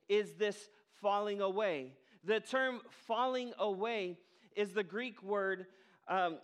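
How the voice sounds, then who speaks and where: High-voiced man preaching earnestly. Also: fairly bright tone. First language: English. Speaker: pastor. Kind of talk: sermon.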